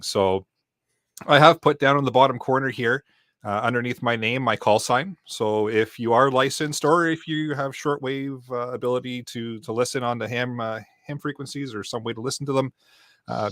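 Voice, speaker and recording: A man's voice: medium pitch, fairly quick, fairly bright tone, no echo, clean studio sound. A man hosting a live stream, chatting casually and explaining things as he goes. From Canada. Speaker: podcast host.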